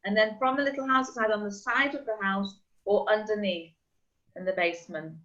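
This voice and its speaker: A middle-aged woman chats on a call in a mid-pitched voice, running through examples in a friendly, practical way. The audio sounds slightly dull and lo-fi. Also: steady tone, fairly bright tone. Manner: chatty, explains with quick examples. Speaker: real estate agent. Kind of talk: casual video call chat.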